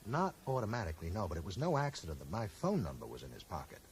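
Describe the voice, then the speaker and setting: An actor playing a courteous, probing bookseller who questions people in a measured, polite way in a 1980s radio drama. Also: male voice, low voice, wildly swooping pitch, heavily acted. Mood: earnest, courteous. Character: probing, polite bookseller investigating a stranger's death. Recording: slightly noisy old radio broadcast recording.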